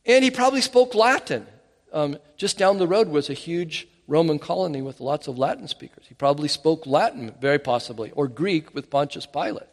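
A man preaching with an animated, emphatic delivery, often stopping to restart a phrase. His voice sits a little higher than most men's. A long hall reverb trails after his words. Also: a little fast. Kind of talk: sermon.

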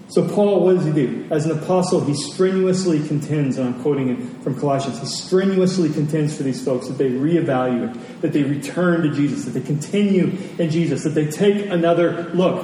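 A man's voice: on the high side, warm tone. A man preaching a sermon, earnest and emphatic, repeating phrases for stress. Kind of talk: sermon with personal anecdotes and scripture reading. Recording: very echoey hall, distant mic, faint noise.

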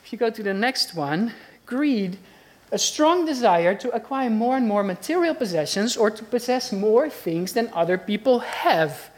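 A woman's voice: on the high side, expressive, normal speed, a little mellow. A woman with a Dutch accent delivers a sermon. She preaches earnestly and conversationally, putting question after question to her listeners.

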